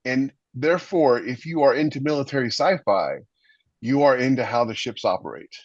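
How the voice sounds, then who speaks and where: A man co-hosting a podcast in casual talk, halting and repeating words as his thoughts build, on slightly lo-fi audio. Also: mid-range voice, animated, no room sound. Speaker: podcast co-host. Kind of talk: casual panel discussion. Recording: dull, slightly lo-fi dry audio.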